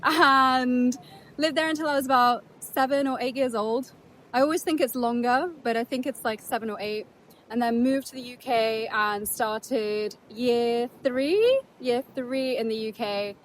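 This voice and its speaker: A woman's voice: on the high side, a little slow, slight background noise, rough recording. Chatty British-accented woman vlogging outdoors. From Netherlands. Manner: chatty, rambling, with fillers and restarts. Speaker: travel vlogger. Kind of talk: casual vlog to camera. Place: windy beach, outdoors.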